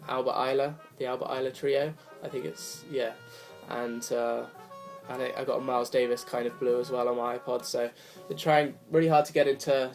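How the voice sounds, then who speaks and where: Rambling young man with a cold. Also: mid-range voice. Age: young adult. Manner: rambling, apologetic, many fillers. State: hoarse from a cold. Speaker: vinyl collector vlogger. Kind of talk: casual chat to camera. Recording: faint music behind the voice. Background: jazz record playing softly.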